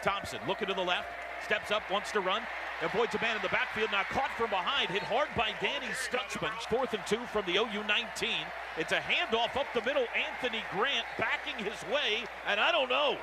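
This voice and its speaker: A man's voice: high-pitched, brisk. An American man calling football live on radio as play-by-play announcer, in clipped phrases that turn urgent on big plays. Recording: roaring stadium crowd and heavy noise. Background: loud stadium crowd.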